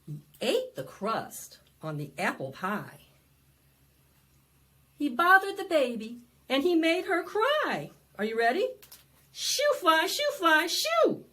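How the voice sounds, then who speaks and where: A woman presenting storytime for young children, singing and reading aloud in an animated, sing-song way that coaxes them to join in. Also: very high voice, slow. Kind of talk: interactive preschool storytime, singing and read-aloud.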